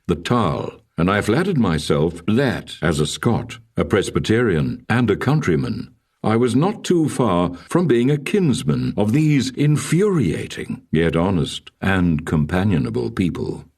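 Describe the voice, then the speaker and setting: A man with a deep voice reads a literary memoir as scripted narration. His delivery is formal and measured, yet richly varied in pitch. He sounds set a little back from the microphone. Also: far from the mic. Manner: measured, expressive, formal storytelling. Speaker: audiobook narrator.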